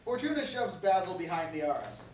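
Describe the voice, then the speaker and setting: A man's voice: high-pitched, very animated, brisk. A man reading stage directions as scripted narration at a staged reading. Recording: roomy, distant mic, faint noise.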